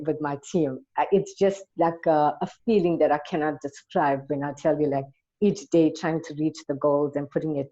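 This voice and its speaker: Low, mellow South African woman. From Durban, South Africa. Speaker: interview guest, entrepreneur. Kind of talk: conversational interview answers. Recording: dull, lo-fi audio.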